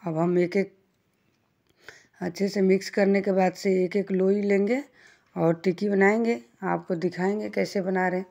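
A woman with a voice of ordinary, middle pitch hosts a home cooking channel. She talks in a homely, chatty way, explaining each step as she works at the stove. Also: steady tone, moderate pace, vocal fry, mellow, lo-fi sound. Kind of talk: casual step-by-step cooking instructions.

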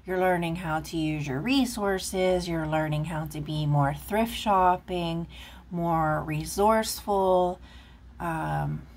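A woman's voice: lowish voice, takes it slowly, darkish tone, slight background noise. A woman giving a casual, rambling tarot reading, chatting with lots of fillers while recorded off camera at a table indoors. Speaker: tarot reader.

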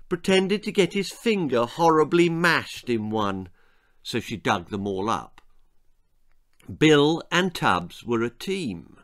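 A man in his sixties tells a children's tale. His delivery is slow and emphatic, with a lively, swooping pitch. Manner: theatrical, emphatic. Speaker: author reading own story aloud. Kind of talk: dramatic children's storytelling. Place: small book-lined room at home.

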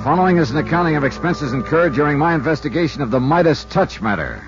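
An American actor playing a wisecracking insurance investigator with a breezy, wry, clipped delivery, in 1950s radio style. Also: male voice, a little high, fast, muffled recording, close to an everyday voice. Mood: wry narration. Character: wisecracking freelance insurance investigator, fond of fishing. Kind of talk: 1950s radio detective acting and narration. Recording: band-limited 1950s radio recording with music.

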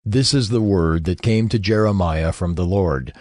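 A man narrating scripture from a script in a measured, solemn manner. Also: deep voice, a little mellow, dry recording, studio quality.

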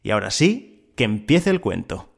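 A man's voice: fairly low pitch, big pitch swings, moderate pace, vocal fry, slightly rough. A man hosting a fiction podcast and narrating in a scripted style. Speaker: podcast host and story narrator.

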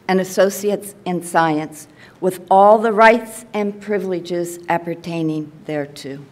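A woman speaks as board chair from an auditorium stage. Her medium-pitched voice delivers a formal, scripted declaration into a podium microphone.

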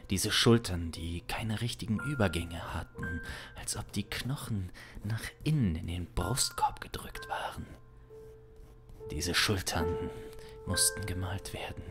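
Young guy telling a dark suspense story, super deep and slow, brooding, close mic. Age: young adult. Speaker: improv audio drama narrator. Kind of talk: dramatic improvised storytelling. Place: small home studio, indoors. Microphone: close studio microphone. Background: instrumental music.

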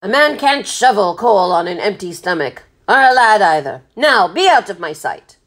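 A woman voicing a gruff, blustering old male sea captain in a storybook, bellowing in salty sailor talk. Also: medium pitch, big pitch swings, normal speed, a bit bright. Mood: gruffly approving.

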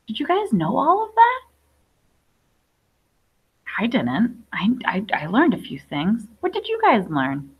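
A woman's voice: on the high side, huge pitch swings, a little slow, pauses often, slightly rough, dull audio. A woman around her thirties, a teacher reading aloud to young children, enunciating and adding chatty questions to her listeners. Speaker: primary school teacher. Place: indoor classroom.

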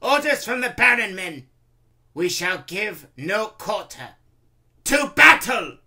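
A man voicing a hot-tempered, sneering military officer with a raspy voice, in a storybook reading. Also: sits high, big pitch swings, takes it slowly, pushed and bright, voice pushed up, heavily acted. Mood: commanding.

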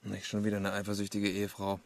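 A man's voice: lowish voice, completely flat delivery, fast, a little mellow, no echo, lightly acted. A man playing a terse, sceptical senior police inspector in a naturalistic radio crime drama, blunt and laconic. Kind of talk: naturalistic German radio play acting.

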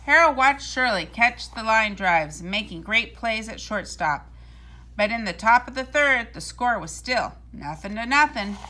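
Bright, high-voiced woman reading a storybook. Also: rough recording. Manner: deliberate, expressive storytelling. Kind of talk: scripted read-aloud of a children's storybook.

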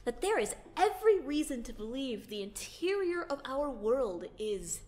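A woman's voice: high voice, sing-song, moderate pace, a little mellow. A woman voicing a pompous, grandly self-assured boy scholar in an audio drama, theatrical and formal. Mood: lecturing.

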